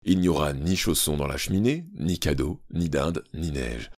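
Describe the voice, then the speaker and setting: A man reading aloud who voices a tyrannical, pompous middle-aged father who blusters and shouts. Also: extremely low pitch, expressive, normal speed, dry recording, clean studio sound.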